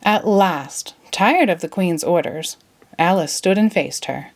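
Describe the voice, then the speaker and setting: A woman narrating a children's story as an expressive read-aloud. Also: fairly low pitch, unhurried.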